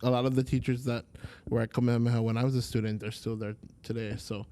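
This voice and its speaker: Mellow-voiced Hawaiian man, relaxed storyteller. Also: medium pitch, quick pace, no echo. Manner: relaxed, rambling storytelling with many fillers. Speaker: podcast guest, Hawaiian language teacher. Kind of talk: casual interview chat.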